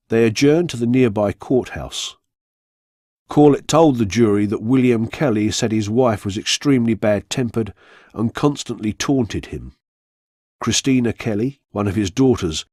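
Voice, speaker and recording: A man reading a scripted true-crime story for radio in a measured, factual storytelling manner. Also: on the low side, darkish tone, no echo, studio quality. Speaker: narrator.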